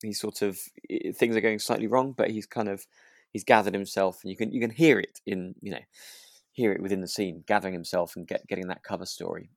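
A man's voice: lowish voice, fairly even intonation, brisk, creaky, no echo, studio quality. A man co-hosting a podcast in casual chat, thinking aloud and phrasing his opinions tentatively. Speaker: podcast co-host. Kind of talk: casual chat reviewing a radio drama.

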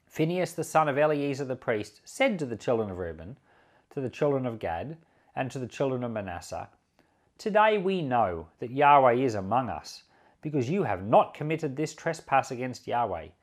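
Man, pastor, animated intonation, earnest and conversational, scripture reading and commentary, repeats for emphasis. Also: medium pitch, moderate pace. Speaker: pastor and podcast host.

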